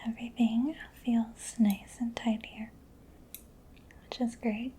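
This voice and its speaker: Whispering woman in soft ASMR roleplay. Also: on the high side, little pitch movement, slight background noise. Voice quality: breathy whispering, mostly unvoiced. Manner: gentle, reassuring, unhurried close-up delivery. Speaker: ASMR roleplay performer playing a mechanic. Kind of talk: soft-spoken ASMR personal attention roleplay.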